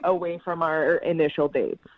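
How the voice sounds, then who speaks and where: A woman's voice: low-pitched, fairly even intonation, normal speed. A woman calling a radio show and chatting casually over the phone. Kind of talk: casual chat. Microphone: telephone. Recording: slightly dull phone call audio.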